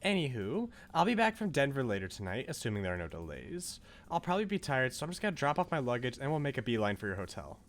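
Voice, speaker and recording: A man's voice: mid-range voice, big pitch swings, quick pace, few pauses, pitched above the performer's own voice, natural voice. A man voicing a bubbly, proud, chatty middle-aged mom in a visual novel, gushing as she leaves a voicemail. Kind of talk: visual novel read-aloud.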